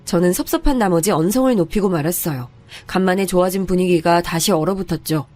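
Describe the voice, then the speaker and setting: A woman's voice: fairly low pitch, fast, a little mellow, faint music behind. A woman in her thirties narrating a first-person story in a confiding, conversational way.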